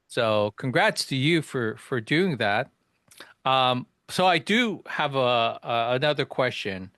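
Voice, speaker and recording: A man's voice: medium pitch, expressive, slow pace, fairly bright tone, no echo. Hesitant male podcast host, dry sound. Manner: hesitant, many "um" and restarts. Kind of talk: interview questions, casual.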